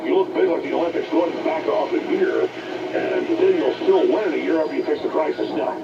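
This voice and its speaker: Combative radio commentator, heavy vocal fry, distant and muffled shortwave sound. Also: male voice, a little high, fast, loud music behind the voice.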